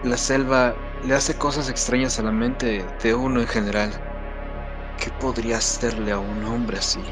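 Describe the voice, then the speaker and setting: A man acts out a battle-worn soldier in an audio war drama. He is tormented and intense, with a middle-pitched voice, arguing with himself or barking orders. Prominent music plays behind him. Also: steady tone, normal speed, close to an everyday voice. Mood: brooding, troubled.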